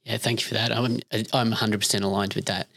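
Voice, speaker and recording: A man's voice: on the low side, flat delivery, fast talker, vocal fry, a bit bright. A man hosting a podcast interview who talks in an agreeable, encouraging way and stumbles over repeated words. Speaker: podcast host. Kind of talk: casual interview.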